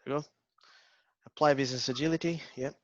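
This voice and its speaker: A man's voice: mid-range voice, fairly even intonation, quick pace, no room sound, highs cut off. A man with a Portuguese accent, an agile coach leading an interactive online workshop, hesitant with many ums and restarts. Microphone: video call.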